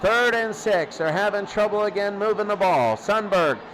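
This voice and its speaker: A man doing excitable play-by-play football commentary in a radio style, often repeating key phrases. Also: high voice, moderate pace, noisy background.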